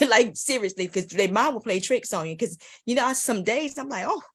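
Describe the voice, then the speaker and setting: A woman in her forties, a guest mother giving frank, animated advice and laughing easily. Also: a little high, moderate pace, breathy edge. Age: 40s. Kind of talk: casual advice.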